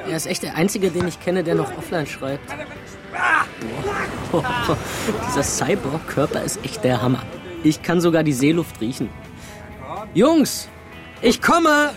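Radio play casting: upbeat, cocky brother in a cyborg body, swooping delivery, heavy music bed. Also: male voice, on the high side, sing-song, a little slow, long pauses. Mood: exuberant. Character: Demo, boastful upbeat young man in cyborg body. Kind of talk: casual radio drama dialogue. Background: loud music.

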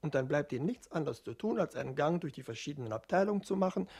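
A man's voice: a little high, expressive, fast, a little mellow, husky. A man acting in a radio play as a formal, long-winded voice reciting fallback options.

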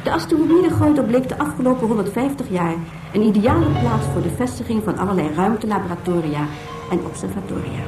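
An actress voicing a formal, instructive information tape in scripted documentary style within a radio play. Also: female voice, a little high, lightly acted. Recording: echoing, distant, music behind.

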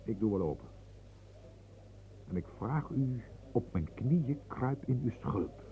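A man's voice: medium pitch, animated, slow pace, warm tone, rough recording. An actor playing a loyal adviser in a radio play who pleads earnestly and warns his master. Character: loyal adult male adviser, urgent and warning.

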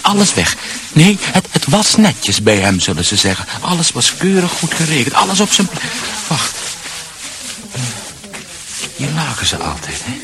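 An actor in a Dutch radio drama playing a confused, deranged man who mutters, halts and repeats himself. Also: medium pitch. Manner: muttering, repetitive, halting. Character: deranged, confused man returning to his ransacked house.

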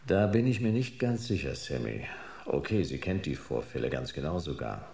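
An actor playing a laconic, wry hard-boiled private detective in a German sci-fi radio crime drama, speaking in dry, clipped sentences. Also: male voice, extremely low pitch, very animated, moderate pace, gravelly, darkish tone, slightly rough, exaggerated voice. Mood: skeptical, calm.

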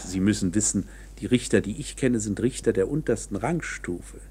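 An actor in a German radio play playing a knowing, coolly matter-of-fact court insider who explains things in a measured, insinuating, officious way. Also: male voice, lowish voice, lively intonation, darkish tone, breathy edge. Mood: matter-of-fact, resigned.

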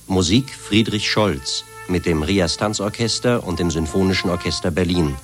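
A man's voice: deep voice, normal speed, music in the background. A man formally announcing the title and credits of a radio play, as a scripted read.

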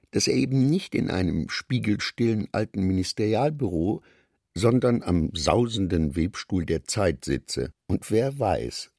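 A man reading scripted literary narration for a radio play in a measured, articulate, dryly ironic manner. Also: fairly low pitch, vocal fry, a little mellow, husky, no echo, clean studio sound.

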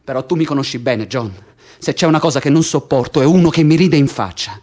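An actor playing a stubborn, hot-headed, foul-mouthed political prisoner in a theatrical radio drama, speaking vehemently and repeating himself. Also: male voice, mid-range voice, fast, darkish tone, natural voice. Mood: pleading, warning.

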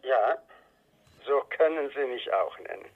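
Over a thin, narrow phone line comes a money-minded Russian informant. He is evasive and halting, bargaining over what he knows in a mid-pitched radio drama voice. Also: lively intonation. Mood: cagey, bargaining. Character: cagey money-minded Russian former Soviet embassy informant. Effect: telephone voice.

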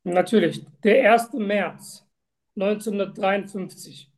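A woman with a medium-pitched voice plays a patient answering medical questions. She speaks slowly and deliberately, keeping her answers short and factual. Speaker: simulated patient in medical exam practice. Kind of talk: role-played patient answers.

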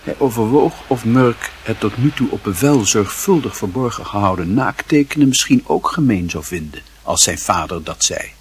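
A man narrating a literary radio play as a wry storyteller with a husky voice. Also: fairly low pitch, expressive, moderate pace, few pauses, slight background noise, close to an everyday voice. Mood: measured, wry.

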